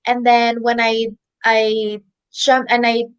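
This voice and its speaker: A woman's voice: on the high side, completely flat delivery, slow, clear, resonant tone, lo-fi sound. A woman in her thirties from the Philippines, a guest telling her story in a casual, chatty interview with many restarts.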